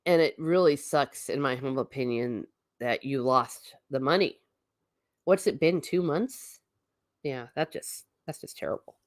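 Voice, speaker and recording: Low-voiced lady doing a chill livestream chat, blunt and chatty, dry close sound. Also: a little slow, dry recording. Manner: chatty and blunt, with frequent asides and restarts. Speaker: livestream host. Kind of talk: casual livestream chat, reading viewer comments.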